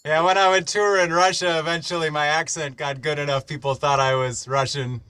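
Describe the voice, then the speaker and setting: A man in a casual group video chat, musing with animated asides. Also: a little high, a little fast, clean tone, no echo.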